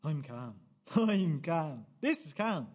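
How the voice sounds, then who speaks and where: A man acting a defensive, hot-headed brother in a radio drama. His voice is high for a man and swings widely as he argues, sweary and exasperated. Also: sing-song, slow, a little mellow, full character voice. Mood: defensive, agitated. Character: volatile, defensive older brother bickering with his sister. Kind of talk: radio play dialogue.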